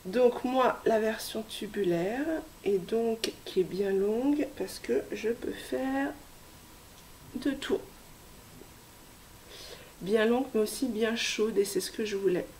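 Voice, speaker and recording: A woman in her thirties, hoarse from a cold, hosting a casual knitting vlog with many hesitations and soft asides. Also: on the high side, slow, long pauses, a little hiss or hum, rough recording. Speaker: knitting podcast host.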